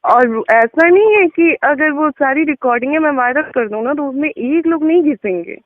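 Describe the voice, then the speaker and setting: A woman's voice: sits high, animated, normal speed, no room sound. A woman in a candid personal phone conversation, explaining and justifying herself insistently, often checking that the listener follows. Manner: insistent, repeatedly checking with "okay?". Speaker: interviewee on a phone call. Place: telephone call. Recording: tinny phone line.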